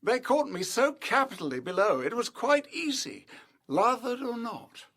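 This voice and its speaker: Man as very high-voiced, polite helper. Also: very animated, measured pace, fairly bright tone, exaggerated voice. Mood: eager, polite. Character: polite, chatty gentleman helper to the police. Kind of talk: period audio drama acting.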